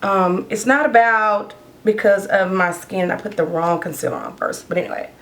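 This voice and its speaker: A woman with a hoarse, worn voice chats casually while applying her makeup. She rambles from one thought to the next at an easy pace. Her pitch sits in the middle range. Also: normal speed. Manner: chatty, rambling, talks while doing makeup. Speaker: beauty vlogger. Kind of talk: casual get-ready-with-me chat.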